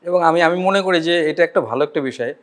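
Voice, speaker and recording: A man with a Bangladeshi accent and a fairly high voice hosts an interview. He speaks conversationally and builds long, meandering questions. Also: fast talker, a bit bright. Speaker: interviewer, show host.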